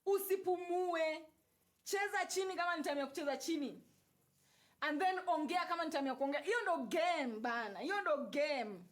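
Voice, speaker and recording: Kenyan woman, high voice, livestream host, emphatic, repeats herself, casual spiritual chat. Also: moderate pace. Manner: repetitive, emphatic, switches into Swahili and Luo phrases. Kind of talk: casual spiritual talk to live viewers.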